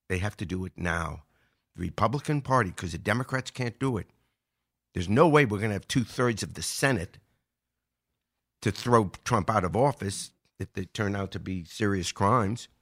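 A man with a fairly low voice delivers political commentary. He is earnest and emphatic, circling back to repeat his main points as he builds an argument. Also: normal speed, fairly bright tone. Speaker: show commentator. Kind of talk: political commentary before a live audience.

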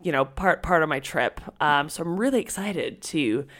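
A woman co-hosting a podcast in casual chat, a little stuffy and raspy from a cold, talking freely and restarting her sentences. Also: sits low, expressive, normal speed, a bit bright. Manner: chatty, laughs often, restarts sentences. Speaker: podcast co-host.

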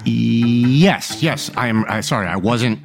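A man's voice: mid-range voice, slow pace, gravelly, over music. A man co-hosting a political podcast, chatting casually and wryly. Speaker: podcast co-host.

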